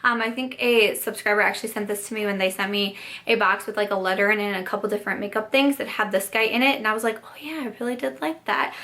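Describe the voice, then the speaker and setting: Hoarse young woman chatting about makeup. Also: mid-range voice, pushed and bright. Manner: bubbly, upbeat, lots of "like". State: hoarse, raspy voice. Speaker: beauty vlogger. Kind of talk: casual chatty vlog.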